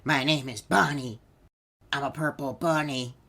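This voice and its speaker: A woman's voice: a bass voice, steady tone, unhurried, sharp tone, heavily acted. A woman voicing a laid-back, wisecracking animatronic rock bunny for a video game, with a casual manner. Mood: casual, self-conscious. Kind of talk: video game character voice lines.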